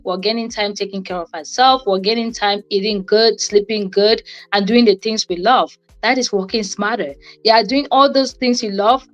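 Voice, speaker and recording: A young adult woman giving an informal motivational talk on a livestream, conversational and repeating phrases for emphasis. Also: medium pitch. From Cameroon. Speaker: co-host and guest speaker on a livestream.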